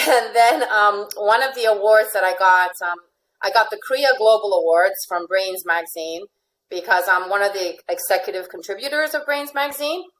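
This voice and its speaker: A woman's voice: mid-range voice, normal speed, a bit bright, poor audio. A chatty woman with an American accent, giving casual interview answers as a guest coach, often repeating her phrases. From born in Finland, grew up in Venezuela.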